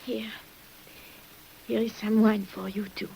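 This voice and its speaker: An actress playing a weary, secretive French nurse in an old radio drama, speaking formally and hesitantly with breathy sighs. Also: female voice, medium pitch, level tone, slow, dark tone, rough recording. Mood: exhausted, faint. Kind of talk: 1950s radio drama acting. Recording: hissy old radio recording.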